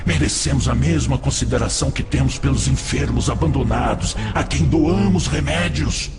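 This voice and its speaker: A man's voice: medium pitch, normal speed, creaky, close to an everyday voice. A Brazilian man acting a seductive, insidious tempter spirit in an audio drama, persuasive, cynical and theatrical. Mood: pleading, insistent. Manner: theatrical, insinuating, rhetorical. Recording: loud music behind the voice.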